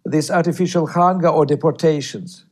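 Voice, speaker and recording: Interview guest: man, somewhat high-pitched, measured, halting delivery. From Ukraine. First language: Russian. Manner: measured, with frequent hesitations and repeated words. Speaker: guest, writer. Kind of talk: interview answers.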